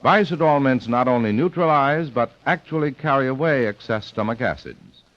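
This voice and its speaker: A man's voice: mid-range voice, moderate pace, dry recording. An American man as a polished mid-century radio announcer, reading credits and sponsor copy emphatically. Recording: muffled old radio broadcast.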